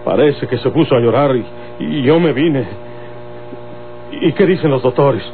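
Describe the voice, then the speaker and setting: A Mexican man playing a gruff, vengeful older rural boss, folksy and emphatic, in an old radio serial. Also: medium pitch, moderate pace, slight background noise, lightly acted. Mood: worried. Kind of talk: Mexican golden-age radio serial acting. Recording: tinny old radio recording, music behind.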